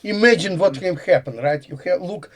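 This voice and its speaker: A man's voice: high voice, moderate pace. An older man from Latvia, a professor with a Latvian accent, explaining ideas in a thinking-aloud way and often dropping articles. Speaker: guest, computer science professor. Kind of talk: explanatory conversation.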